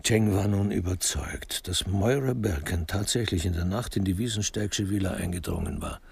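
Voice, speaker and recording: A man narrating a crime radio drama in a measured, literary storytelling manner. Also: sits low, creaky, darkish tone, breathy edge.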